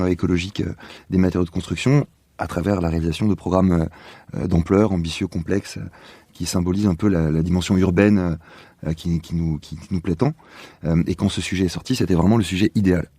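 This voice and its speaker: A man speaking as an interview guest, explaining in a halting, conversational way as he searches for words. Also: low-pitched, warm tone, dry recording.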